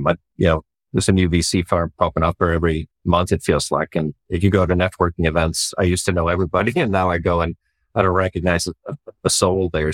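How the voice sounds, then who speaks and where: A man, a startup founder answering interview questions in a relaxed, reflective way with many "you know" fillers. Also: deep voice, no room sound. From Sweden.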